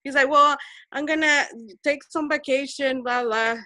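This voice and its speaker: Woman in her forties, from El Salvador, earnest, repeats herself, bright tone, dry sound. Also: high-pitched, steady tone, unhurried, dry recording. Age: 40s. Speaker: Zoom meeting participant. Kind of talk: personal story in group video chat. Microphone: video call.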